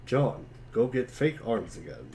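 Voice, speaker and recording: A man's voice: medium pitch, very animated, unhurried, darkish tone. A man reading short scripted commands in a webcomic dramatic reading.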